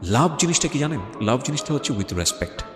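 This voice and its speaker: A man's voice: medium pitch, very animated, moderate pace. A man with a Bangladeshi accent hosting a radio talk show, insistent and emphatic, slipping English phrases into his advice. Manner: emphatic, insistent, argumentative, code-switches into English. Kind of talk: talk show interview, advising. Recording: echoey hall reverb, faint music. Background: faint background music.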